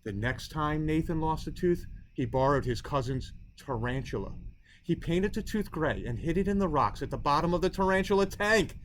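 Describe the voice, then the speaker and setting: A man narrating a children's storybook as a storyteller, in expressive scripted narration. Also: on the high side, moderate pace, a little hiss or hum, poor audio. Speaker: storyteller reading a children's book.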